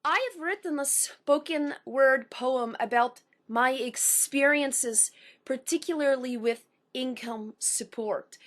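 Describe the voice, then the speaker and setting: A woman in her thirties with slightly unusual speech, at times taken for a foreign accent, introducing and performing a spoken word poem with careful phrasing and pauses. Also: sits high. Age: 30s.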